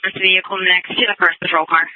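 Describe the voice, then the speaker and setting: High-pitched man dispatching over tinny police radio. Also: flat delivery, fast. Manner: clipped, procedural. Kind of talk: radio dispatch, repeating back officers' reports. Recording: tinny, noisy police scanner radio with clicks.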